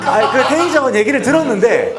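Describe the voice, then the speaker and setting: A man's voice: very high voice, very animated, fast, echoey room, over loud music. A man in his thirties with a Busan accent, a guest rapper on a talk show, talking earnestly and deferentially, often laughing as he tells stories. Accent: Busan Korean dialect. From Busan, South Korea.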